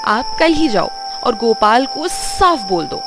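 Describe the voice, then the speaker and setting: A woman's voice: a little high, big pitch swings, slow, over music. A woman voicing a pushy, money-minded village wife who nags persuasively in a cartoon story. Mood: urging. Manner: persuasive, nagging. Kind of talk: animated moral bedtime story.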